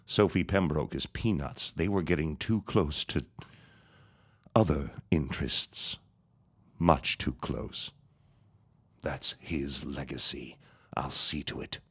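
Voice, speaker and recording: An actor playing a scheming, greedy reverend who gloats and cackles in an audio drama. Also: male voice, a bass voice, slow pace, long pauses, a bit bright, husky, full character voice. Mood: menacing.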